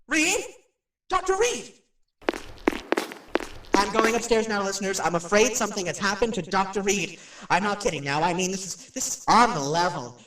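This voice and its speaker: A man's voice: high-pitched, a bit bright, heavily acted. An actor playing a nervous, chatty radio personality who rambles and stammers his way through a haunted house in a radio drama. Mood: panicked. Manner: jittery, stammering, rambling, self-interrupting. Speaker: radio host. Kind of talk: radio drama acting, rambling live monologue. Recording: roomy, distant microphone.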